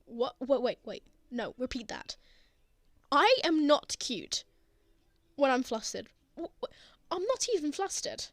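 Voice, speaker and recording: Woman playing a high-voiced, flustered young man. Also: sing-song, dry recording, heavily acted. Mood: flustered, defensive. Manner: halting, defensive, sardonic. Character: flustered, prickly, lovestruck young man confessing to friend. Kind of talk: intimate ASMR roleplay acting.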